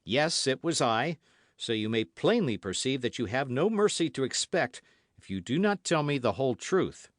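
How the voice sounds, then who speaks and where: A man reading aloud, voicing an angry young swordsman who demands answers in a raised, forceful voice. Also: mid-range voice, very animated, normal speed, dry recording, studio quality, voice pushed up, natural voice. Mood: menacing.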